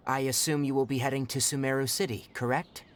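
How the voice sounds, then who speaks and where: A man voicing a composed, astute young forest ranger in a video game, speaking in a measured, matter-of-fact, articulate way. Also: mid-range voice, flat delivery, heavy background noise, natural voice. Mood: calm, businesslike. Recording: background music under the voice.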